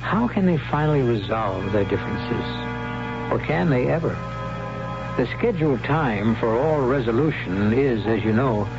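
A man hosting an old radio drama, narrating in a measured, dramatic, knowing manner, with music under him on an old broadcast recording. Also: mid-range voice, lively intonation, dull audio. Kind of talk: theatrical scripted narration.

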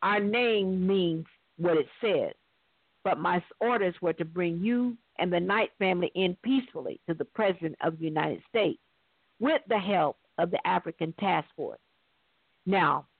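A stern, self-important major general from a story, voiced by the reader, gives formal commands. The voice is medium in pitch and heard through a narrow phone-like line. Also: moderate pace, creaky, breathy edge, dry recording. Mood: stern, threatening. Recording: thin, dry phone-line sound.

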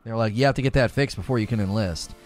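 A man's voice: fairly low pitch, quick pace, faint music behind. A man hosting a casual livestream, chatting with his brother and telling rambling stories. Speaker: streamer and show host.

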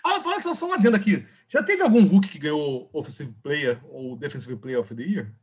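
A man's voice: a little high, sing-song, fairly quick, husky. A man hosting a casual football podcast, playful and teasing as he passes questions around. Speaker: podcast host leading the segments. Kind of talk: casual sports chat.

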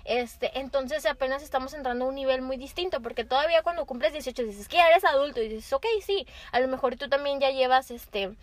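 A woman's voice: high voice, fairly even intonation, fairly quick, few pauses. A woman in her early twenties with a Mexican accent, chatting casually as a co-host in a colloquial, slangy, explanatory way. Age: early 20s. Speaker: co-host of a conversational show. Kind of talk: casual chat.

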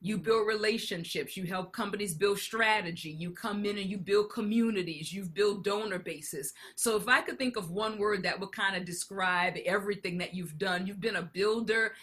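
An upbeat woman from New Orleans hosts a faith and career podcast, speaking at an ordinary middle pitch. She welcomes her guest enthusiastically and leads the conversation with easy energy. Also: moderate pace, hardly any pauses, slightly rough. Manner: warm, upbeat, enthusiastic hosting. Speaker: podcast host.

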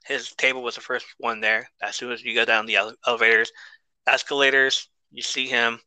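A man hosting a casual podcast, reading listener shout-outs and the outro in an easygoing, rambling way with a soothing voice. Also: lowish voice, clean tone, no room sound, rough recording. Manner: easygoing, rambling, lots of fillers and laughs. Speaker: podcast host.

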